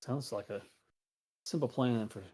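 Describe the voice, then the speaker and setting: Man player thinking out loud, mid pitch, hesitant, lots of restarts. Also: unhurried, husky. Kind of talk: planning aloud in a tabletop game.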